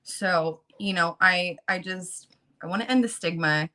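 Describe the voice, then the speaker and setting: A woman in her late twenties speaks in a bright, forceful tone that sits a little low for her sex. She talks earnestly and haltingly and keeps filling her pauses with ums and you-knows, sounding as if she has just woken up. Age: late 20s. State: just woke up. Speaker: guest speaker on a channel about fighting child protective services. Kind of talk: casual talk, partly reading resources aloud.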